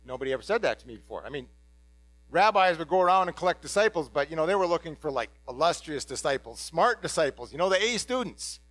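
A blunt, ordinary middle-aged fisherman apostle, played by a man speaks in a rather high voice to a congregation. He rambles and stumbles over restarts in a casual, excitable way. The sound is dry and close. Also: quick pace, no echo, low-quality recording. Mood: chatty. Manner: excitable, blurting. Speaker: dramatic first-person preacher. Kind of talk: first-person biblical monologue to a congregation.

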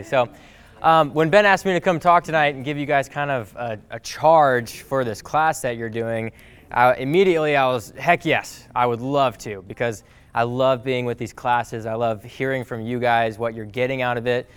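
A young man giving a lively, joking talk to a class in a meeting room. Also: medium pitch, normal speed, clean tone. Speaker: speaker.

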